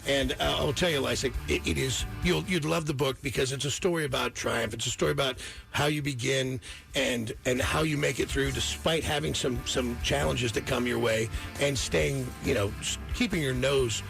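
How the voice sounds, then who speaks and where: Creaky-voiced man in casual radio chat. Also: medium pitch, normal speed, a bit bright, faint music behind. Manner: informal, slangy, emphatic and repetitive. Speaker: radio morning show host.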